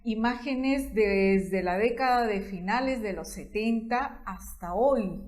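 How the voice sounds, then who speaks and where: A woman host, speaking in a measured way and filling with "diríamos". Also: mid-range voice. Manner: warm-thanking, measured, uses "diríamos". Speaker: program host. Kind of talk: slow hosting and interviewing.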